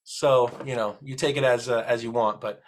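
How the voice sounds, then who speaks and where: A man's voice: medium pitch, steady tone, normal speed. A man in his thirties, a realtor and co-host, giving upbeat, emphatic advice in casual chat.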